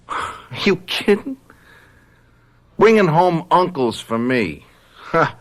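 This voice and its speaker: A man's voice: fairly high pitch, sing-song, slow pace. An actor playing a brash, troubled young college man in a radio drama, with slangy, stammering, defensive speech. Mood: agitated, bitter. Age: young adult.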